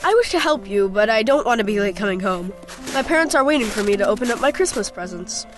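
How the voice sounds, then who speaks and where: A woman's voice: medium pitch, lively intonation, quick pace, music in the background, close to an everyday voice. A woman playing an eager, polite young boy in a fantasy audio story, speaking in an earnest, childlike way.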